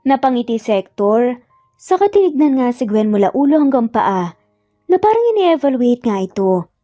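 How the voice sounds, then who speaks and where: A woman's voice: a little high, big pitch swings, moderate pace, warm tone, highs cut off, faint music behind. A woman with a Filipino accent narrating a scripted dramatic story.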